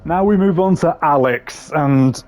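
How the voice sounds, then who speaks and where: A man's voice: fairly high pitch, a little slow, a little mellow. A man giving casual, opinionated commentary as a gaming video creator, blunt and informal with plenty of slang. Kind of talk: casual opinionated commentary ranking game voice lines.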